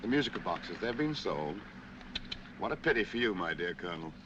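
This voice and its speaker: An actor playing a cold, menacing conspirator in a 1940s film, speaking in a deliberate, taunting way. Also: male voice, sits low, very animated. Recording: distant voice, loud noise, lo-fi film soundtrack.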